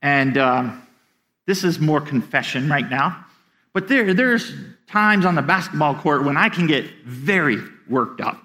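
A man's voice: fairly high pitch, lively intonation, unhurried, pushed and bright. A middle-aged man preaching a sermon as pastor, earnest, often repeating words as he starts a sentence.

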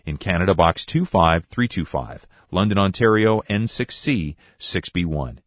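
Deep-voiced man hosting a radio Bible show. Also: animated, a little slow, dry recording, clean studio sound. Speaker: radio ministry host. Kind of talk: scripted radio introduction and letter reading.

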